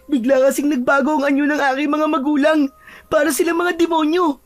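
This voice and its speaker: Woman acting a very high-pitched frightened child. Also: little pitch movement, a little fast, dry recording, natural voice. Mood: panicked, pleading. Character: frightened young child, pleading and bewildered. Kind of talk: dramatized story acting.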